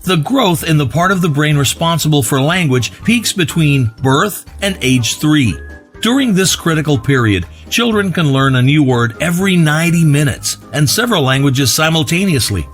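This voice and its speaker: Man narrator, higher voice, animated scripted read, soft music behind. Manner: even, measured explainer read.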